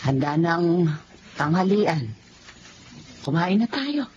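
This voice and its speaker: Worried filipina nanay in a radio soap, coaxing, lively pitch, dull sound. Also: female voice, takes it slowly, a little hiss or hum. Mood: gently worried. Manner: coaxing, anxious, expressive. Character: caring, worried mother, indignant for her daughter. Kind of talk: Filipino radio drama acting.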